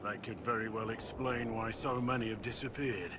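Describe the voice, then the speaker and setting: An actor playing a grave, weary, duty-bound veteran warrior in a video game, speaking in an earnest, formal, measured way. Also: male voice, lowish voice, steady tone, noisy background, natural voice. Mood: sober. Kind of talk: dramatic video game dialogue.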